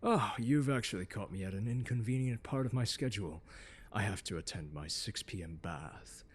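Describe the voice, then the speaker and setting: A man playing a haughty, condescending, seductive scholar in an ASMR audio roleplay, speaking in a pompous, teasing drawl with deliberate emphasis. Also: on the low side, lively intonation, breathy edge. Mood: smug, teasing. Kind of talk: ASMR roleplay, scripted lecture to the listener. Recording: long hall-like reverb.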